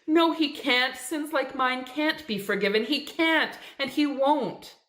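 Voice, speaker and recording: A woman voicing a shrill, terrified dying woman. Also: measured pace, fairly bright tone. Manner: shrill, pleading, frantic. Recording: echoey room.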